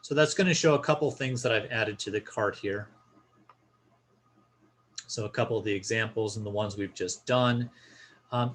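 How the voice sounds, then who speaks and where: A man's voice: medium pitch, fairly bright tone, slight background noise. A man presenting an informal step-by-step tutorial, explaining a screen share in a casual instructional style.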